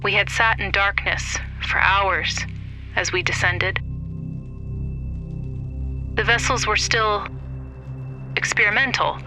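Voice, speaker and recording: A man playing a frightened, haunted deep-sea crew survivor in an audio horror drama, speaking in halting fragments full of dread. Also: pushed and bright, soft background music, full character voice. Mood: grim, haunted.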